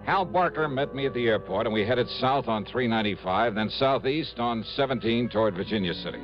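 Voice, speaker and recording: An American actor playing a brisk, wry insurance investigator in a 1950s radio detective drama, speaking in a crisp, conversational way. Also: male voice, medium pitch, expressive, lightly acted. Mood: businesslike, storytelling. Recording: muffled old radio broadcast, music behind.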